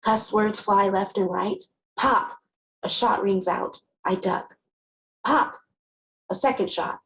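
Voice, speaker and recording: A woman as a wry teen girl, slightly gravelly. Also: mid-range voice, takes it slowly, a little hiss or hum. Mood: tense. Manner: wry, conversational storytelling. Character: wry, guarded teenage girl narrator with sharp tongue. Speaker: actor. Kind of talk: first-person dramatic reading of a novel.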